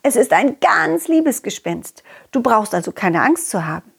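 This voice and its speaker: A woman voicing a kind, loyal, confident dog in a children's story, musing gently and simply. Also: a little high, big pitch swings, a little slow, vocal fry, a little hiss or hum, heavily acted. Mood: reassuring. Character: confident, loyal, kind dog hero reassuring his friend.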